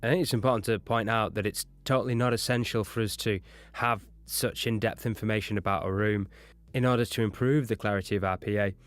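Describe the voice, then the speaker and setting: A man with a fairly low voice gives an explanatory audio lesson. He talks as an instructor walking through a technical point. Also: steady tone, a little fast, no room sound.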